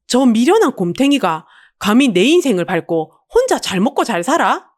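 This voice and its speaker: A woman's voice: fairly high pitch, sing-song, moderate pace, pitched above the performer's own voice. A woman voicing a spiteful, greedy younger sister with a brazen, venomous manner and a Busan dialect in an audio drama. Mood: jealous, scheming. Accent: Busan Gyeongsang dialect.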